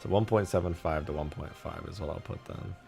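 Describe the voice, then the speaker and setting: A man live-streaming while he mods a game, thinking aloud, reading chat and laughing loudly at times, his voice going a bit hoarse. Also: low-pitched, brisk, faint music behind. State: losing his voice, slightly hoarse. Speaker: modding streamer. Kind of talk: casual live-stream commentary.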